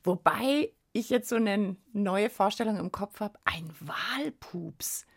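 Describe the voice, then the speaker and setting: A woman's voice: mid-range voice, animated, slow, husky. A woman co-hosting a children's science podcast, chatting casually and recapping facts in a colloquial, playful way. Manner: colloquial, jokey.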